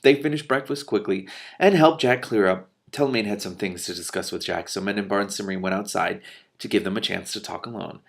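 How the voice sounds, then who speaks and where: A man's voice: on the low side, fairly quick, creaky. A man reading a story aloud expressively, with some casual commentary of his own. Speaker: book reader and commentator.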